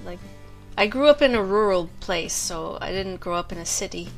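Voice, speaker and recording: A woman's voice: medium pitch, lively intonation, moderate pace, vocal fry. Young american woman on a stream, blunt and giggly, middle voice, faint music behind. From United States. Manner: frank, giggly, blunt jokes. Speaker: co-host streamer. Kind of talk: casual game stream chat.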